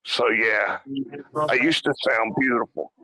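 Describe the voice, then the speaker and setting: A man from New Orleans with a very raspy voice from a damaged vocal cord, chatting bluntly on a live stream panel. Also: medium pitch, measured pace, bright, forceful tone, dry recording, low-quality recording. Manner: blunt, swears freely. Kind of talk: casual live stream chat.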